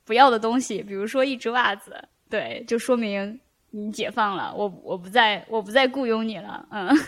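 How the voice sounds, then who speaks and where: Higher-voiced woman co-host, dry close sound. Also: moderate pace, a bit bright, no echo. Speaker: podcast co-host. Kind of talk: casual joking chat.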